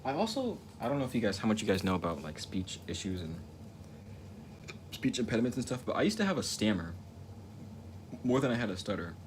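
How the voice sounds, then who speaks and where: Soft-spoken young man with a deep voice. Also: big pitch swings, a little fast, long pauses. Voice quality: stutter. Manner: rambling, self-reflective, with repeated words and restarts. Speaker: livestreaming painter. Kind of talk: casual livestream chat while painting. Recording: noticeable ambient noise.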